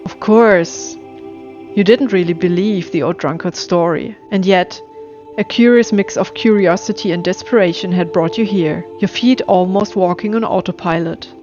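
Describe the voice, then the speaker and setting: A woman reading a scripted spooky story as narrator, in a measured, atmospheric storytelling manner. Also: fairly low pitch, darkish tone, lo-fi sound. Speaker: storyteller and channel host. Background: music.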